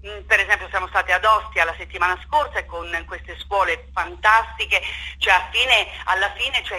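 A woman's voice: medium pitch, sharp tone, poor audio. A woman speaking off the cuff in an interview, emphatic and impassioned, repeating words and seeking agreement. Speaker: theatre director being interviewed. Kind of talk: spontaneous radio interview talk.

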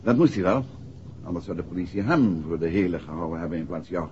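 An actor playing a shrewd, composed male detective in a 1960s radio drama, speaking Netherlands Dutch in a measured, assured way. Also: deep voice, darkish tone, lightly acted. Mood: confronting, composed. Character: shrewd, composed male amateur detective explaining a solved case. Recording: muffled, noisy 1960s radio recording.

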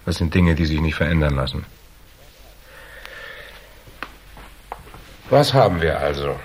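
A hardboiled investigator with a low, deep voice in a German radio crime drama. He is cool and shrewd, setting out each point with dry, measured, needling precision. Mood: analytical. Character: cool, shrewd hardboiled male investigator, calmly laying out deductions.

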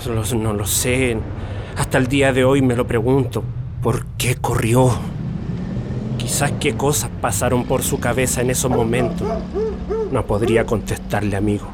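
An actor playing a courteous middle-aged Chilean father, grieving and reflective, speaking haltingly in a radio drama. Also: medium pitch, fairly even intonation, noisy background, lightly acted. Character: grieving, courteous Chilean father recalling his lost son. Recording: music bed under the voice.

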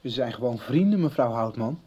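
A man's voice: medium pitch, lively intonation, brisk, mellow, breathy edge, lo-fi sound. An actor playing a shy, reserved young man in a Dutch radio soap. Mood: polite, reserved.

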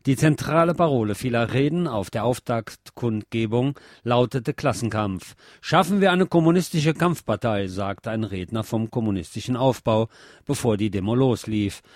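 A man's voice: lowish voice, moderate pace, a little mellow, no room sound, clean studio sound. A man presenting a radio news segment, reading reports and press statements from a script.

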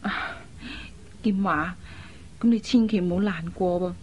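Actress as mellow, demure Cantonese elder sister. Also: female voice, fairly low pitch, measured pace, muffled recording, a little hiss or hum, natural voice. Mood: gentle concern. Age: young adult. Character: considerate, demure elder sister, young woman. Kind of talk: radio drama acting.

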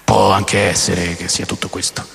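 A man narrating a radio drama in the first person, with a gravelly, theatrical and wry storytelling delivery. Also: sits low, little pitch movement, moderate pace, a bit bright. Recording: long reverb, music underneath.

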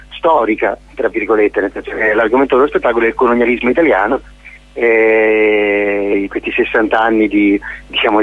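A man's voice: lowish voice, no echo. A man speaking as a theatre artist in a radio phone interview, hesitant, with many fillers and restarts. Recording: tinny phone line.

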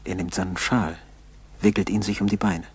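An actor playing a solemn narrator who gives terse present-tense commentary in a 1960s German radio play. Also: male voice, deep voice, little pitch movement, moderate pace, darkish tone, breathy edge. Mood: tense, observing. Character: grave male narrator urgently observing a struggling man.

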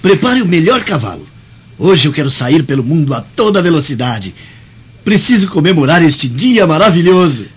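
A man's voice: a little high, very animated, normal speed, slightly rough. A Brazilian man playing an exuberant, arrogant young Roman nobleman in a radio drama, bossy and exultant. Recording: muffled, faint ambient noise.

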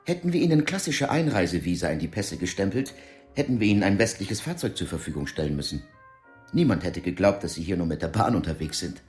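An actor playing a calm underground fixer in a radio drama, speaking in a matter-of-fact, articulate, lecturing way. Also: male voice, low voice, quick pace, soft background music. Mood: lecturing, assured. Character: calm, businesslike underground fixer instructing fugitives in divided Germany.

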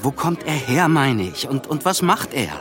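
An actor playing a reflective, observant young man who narrates a literary radio play in a measured, polite manner. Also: medium pitch, big pitch swings, over music, close to an everyday voice. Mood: polite, curious.

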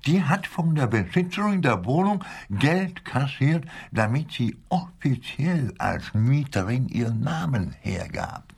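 Husky, spiteful old man. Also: medium pitch, sing-song, heavily acted. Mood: aggrieved. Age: older. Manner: halting, agitated, with rhetorical questions. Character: spiteful, indignant old man from a retirement home. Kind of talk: radio play acting.

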